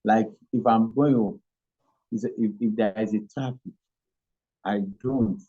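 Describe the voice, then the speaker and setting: A Nigerian man giving an interview, answering haltingly with many fillers and restarts. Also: on the low side, steady tone. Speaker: interview guest. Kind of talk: hesitant conversational answers. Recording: dull lo-fi audio.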